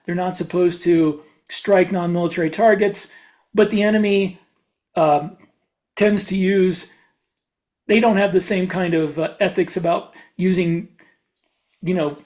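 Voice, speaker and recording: A man speaks as an author and podcast host in a casual discussion. He lays out his explanations haltingly, often backing up to restart a thought. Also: sits high, darkish tone.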